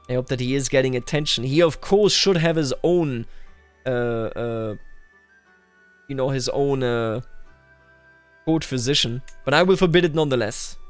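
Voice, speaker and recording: Higher-voiced man narrating a strategy game. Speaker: gaming video host. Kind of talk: unscripted roleplay commentary over a strategy game. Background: music behind the voice.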